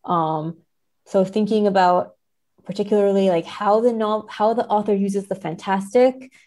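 A woman's voice: mid-range voice, steady tone, normal speed, mellow. A woman speaking as a scholarly interview guest, explaining ideas in a careful, hedging academic manner. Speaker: podcast guest, literary scholar. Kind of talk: thoughtful academic interview talk.

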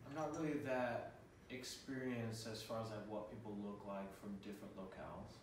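A relaxed young man talks as an interview guest, with a slightly low voice and an easygoing, rambling way of speaking. He is picked up from across a bare living room, so his voice sounds distant and very echoey, with faint ambient noise behind it. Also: fairly quick. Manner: laid-back, loose with many "like" and "word". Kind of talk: casual conversation. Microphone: far camera.

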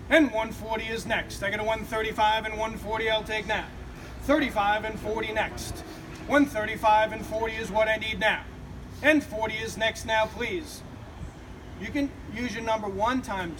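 A middle-aged man working as an auctioneer, calling bids in a rapid, rhythmic, repetitive chant outdoors on a street. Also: high voice, fairly even intonation. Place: outdoors on a street beside a building. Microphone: far camera. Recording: distant outdoor recording, street noise.

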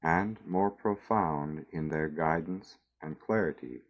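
A man with a very deep voice leads a guided meditation. He speaks very slowly and soothingly, leaving long pauses between short phrases. The recording is dull and somewhat lo-fi.

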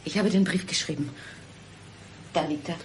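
An actress playing a frightened, despairing wife who awaits her murder, speaking haltingly in a German radio crime drama. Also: sits low, slight background noise. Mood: resigned pleading. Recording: 1990s radio play with music behind.

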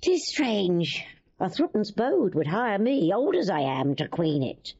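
Husky, teasing old court lady. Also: medium pitch, very animated, measured pace, a little mellow, highs cut off, exaggerated voice. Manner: teasing, mocking, worldly. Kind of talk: classical Shakespearean radio drama acting.

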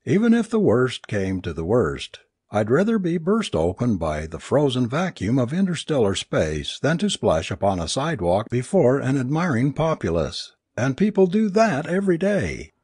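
Man acting a tense, wry, animated man. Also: medium pitch, moderate pace, hardly any pauses, slightly rough, dry recording, studio quality, voice pushed up. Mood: resolute. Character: tense, wry, determined man facing humiliation.

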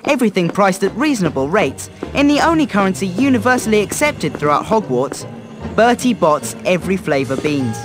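A man's voice: high-pitched, big pitch swings, fairly quick, music in the background. A man voicing a cheeky teenage wizard who runs a joke shop in a fantasy game, with mock-grand sales patter. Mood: playful, selling. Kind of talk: British fantasy game acting.

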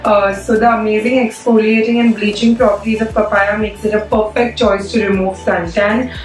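A hoarse young woman, chatting casually as a beauty vlogger, full of "like" fillers. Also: medium pitch, flat delivery, normal speed. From India. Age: young adult. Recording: echoey room, a bit far from mic, faint music.

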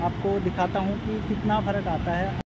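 Brief: young male motovlogger with a raised, light voice, chatty and explanatory, street traffic noise loud under him. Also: sits high, little pitch movement, moderate pace, highs cut off. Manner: chatty, explains each step to viewers. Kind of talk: casual vlog talk to camera. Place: outdoors by a city roadside, later riding a motorbike. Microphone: phone camera, then helmet-mounted action camera. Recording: noisy street recording with traffic and wind. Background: traffic and vehicle noise.

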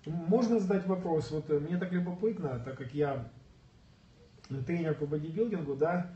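A man chats about his sport in an earnest, storytelling way, clearing his throat and coughing from a mild illness. His voice sits a little high. He sounds far from the mic in an echoey room, with a dull, muffled tone. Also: moderate pace, mellow. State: slightly ill, coughing. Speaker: bodybuilding coach, guest. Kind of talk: casual livestream chat.

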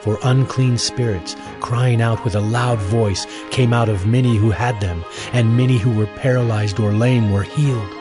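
A man's voice: medium pitch, fairly even intonation, normal speed, few pauses. A man giving scripted narration for a dramatized scripture audio production. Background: music.

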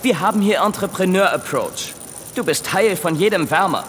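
A man playing an earnest, overworked factory creature, deadpan and dutiful, in a comedy audio drama. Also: high voice, normal speed, long reverb tail, slight background noise. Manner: deadpan, dutiful, startup jargon. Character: earnest, overworked knitting creature spouting corporate jargon. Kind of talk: German comedy science fiction audio drama.

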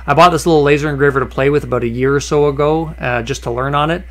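A man explaining a woodworking project in a relaxed, conversational voice-over, with frequent "uh" fillers and small restarts. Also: fairly high pitch, quick pace. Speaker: woodworking channel host. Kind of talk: voice-over narration of a workshop project.

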